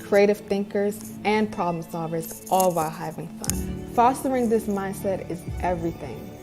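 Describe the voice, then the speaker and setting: A woman author giving an earnest, measured scripted testimonial. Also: fairly low pitch. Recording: faint music underneath.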